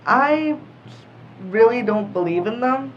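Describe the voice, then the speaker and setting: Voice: medium pitch, animated, slow. A young podcast panelist talking casually in a group discussion. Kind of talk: casual chat. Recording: muffled audio with faint room noise.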